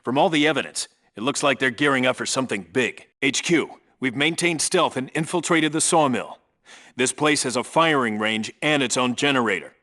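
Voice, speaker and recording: Casting: male actor for seasoned special forces team leader in a military game, mid-pitched, hard-edged forceful tone, terse and authoritative delivery. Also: moderate pace, natural voice. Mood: focused, reporting. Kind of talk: video game voice acting, clipped military radio reports.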